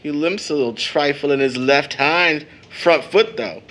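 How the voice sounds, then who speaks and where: A man's voice: on the high side, unhurried, a bit bright. A man playing a cheerful, bantering older brother, a young man given to playful mock-grand speech, in an audio drama. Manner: playful, florid mock formality.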